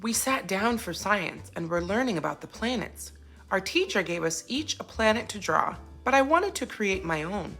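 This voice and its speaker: A woman's voice: mid-range voice, very animated, normal speed, a bit bright, soft background music. A woman voicing an upset, sensitive young boy zebra in an earnest, plaintive storybook telling. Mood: troubled.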